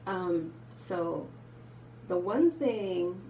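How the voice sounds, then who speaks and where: A soft-spoken woman with a slightly low voice talks in a loose, rambling way, full of ums and you knows. She sits a little back from the mic in an echoey room with faint ambient noise behind her. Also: expressive, extremely slow. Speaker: vlogger. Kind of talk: casual chat while doing makeup. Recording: roomy, slightly distant home recording.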